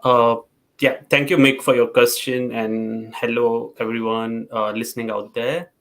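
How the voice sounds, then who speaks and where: A man speaking as a parent on a panel, answering in a hesitant, halting way. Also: medium pitch, level tone, fairly bright tone.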